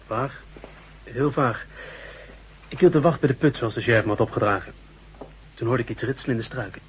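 A man's voice: medium pitch, brisk, lightly acted. Actor as plain-spoken male guard, muffled recording. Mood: shaken, reporting. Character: obliging guard, the sheriff's underling, plain-spoken. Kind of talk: Dutch radio drama acting. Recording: dull audio, faint hum behind.

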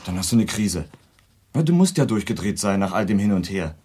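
A cheeky young son in a radio drama. His husky voice moves up and down in a lively way, with a blunt, teasing and sardonic delivery. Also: low voice, fairly quick, heavily acted. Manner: flippant, direct, teasing. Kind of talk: German radio play acting.

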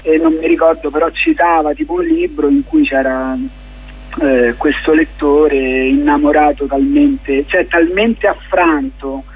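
A man giving reflective interview answers as a guest playwright, haltingly, searching for his words. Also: fairly high pitch, slightly rough, poor audio. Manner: halting, searching for words, many fillers and restarts.

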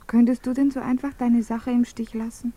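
An actress playing an unhappily married, secretly loving woman, tender and restless, with an intimate breathy delivery in a 1950s German radio play. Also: on the high side, level tone, moderate pace, dark tone, natural voice. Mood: grave resolve.

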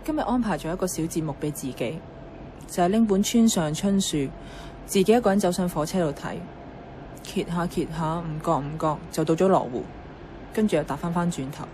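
A woman's voice: fairly low pitch, a little fast, warm tone, a little hiss or hum, lightly acted. An actress playing an introverted, lonely young woman narrating her thoughts in a Cantonese radio drama, reflective and subdued, with a Hong Kong accent. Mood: pensive. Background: background music.

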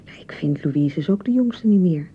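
An actress from a radio play playing an anxious, touchy young newlywed bride in a hesitant, rambling way, with a Netherlands Dutch accent. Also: female voice, animated, normal speed, breathy edge. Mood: piqued, reproachful. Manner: hesitant, rambling, self-correcting. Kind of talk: naturalistic radio play dialogue. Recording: muffled radio broadcast with rumbling noise.

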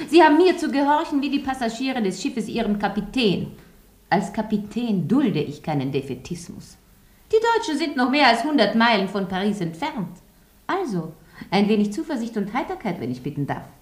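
A woman's voice: medium pitch, natural voice. An actress playing a commanding, grandiose and sentimental French landlady in a German radio drama with roomy sound. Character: bossy, theatrical French landlady, sentimental about her lodgers.

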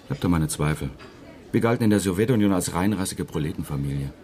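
Flirtatious low-voiced circus acrobat, lively swings. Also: male voice, fast. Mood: wry. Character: flirtatious, self-assured male circus acrobat from Moscow. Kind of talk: radio drama dialogue.

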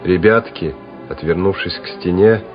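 A man's voice: sits low, unhurried. A man reading scripted dramatic narration for a war radio drama. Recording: dull vintage radio recording with music.

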